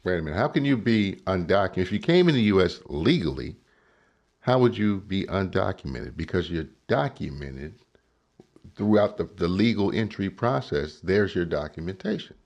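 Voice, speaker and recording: Deep gravelly guy ranting about a clip, swings up and down a lot, kinda muffled. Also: very animated, moderate pace, darkish tone. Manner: emphatic, asks rhetorical questions, says "right?" often. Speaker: political commentator. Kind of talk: reaction commentary on a clip.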